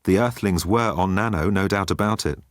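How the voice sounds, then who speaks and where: A man's voice: sits low, moderate pace, no room sound, studio quality. A man narrating comic science fiction as a scripted, dramatic audiobook.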